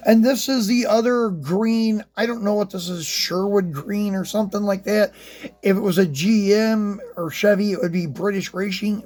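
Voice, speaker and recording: Slightly hoarse man, high voice. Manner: relaxed, rambling, many ums and restarts. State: slightly hoarse, losing his voice. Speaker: die-cast collector channel host. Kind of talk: casual unboxing and review talk.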